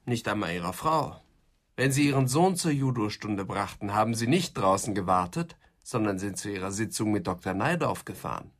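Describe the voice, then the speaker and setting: An actor playing a police assistant in a German radio crime drama, laying out accusations in a factual, pointed way. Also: male voice, on the low side, lively intonation, normal speed. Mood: accusing. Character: male police assistant, matter-of-fact, accusing. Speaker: second interrogator.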